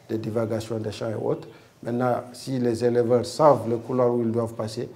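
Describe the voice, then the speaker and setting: A man's voice: lowish voice, creaky, mellow. A man explaining project interventions in a documentary interview. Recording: faint background noise.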